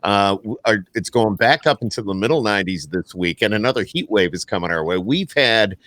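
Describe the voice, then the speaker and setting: A man's voice: fairly low pitch, expressive, fairly quick, fairly bright tone, no echo. An American man hosting a podcast, chatting casually and putting questions to a guest. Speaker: podcast host. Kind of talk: casual interview chat.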